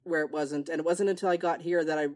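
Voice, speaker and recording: A relaxed woman from the United States chats casually and laughs easily. Her voice sits very low for a woman. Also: quick pace. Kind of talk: casual chat.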